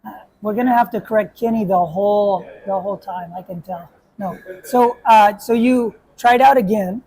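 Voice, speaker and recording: A man's voice: high voice, moderate pace, dark tone, a little hiss or hum, poor audio. A man, a basketball coach, hosting a casual chat and asking questions with a joking manner and many restarts.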